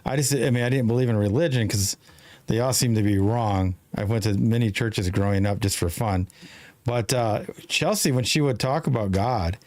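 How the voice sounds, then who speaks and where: A man in his fifties hosting a live talk show, talking in a rambling, halting way with many restarts. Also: on the low side, darkish tone, no echo. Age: 50s. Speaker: show host. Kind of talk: casual live-stream conversation.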